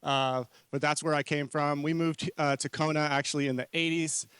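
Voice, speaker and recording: A man in his forties from New Zealand and Hawaii, speaking informally as a conference presenter in an earnest, conversational way, often halting and correcting himself. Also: on the high side, fairly even intonation, a bit bright, clear, resonant tone, dry recording. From New Zealand-born, raised in Hawaii. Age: 40s. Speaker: conference speaker, marketing consultant.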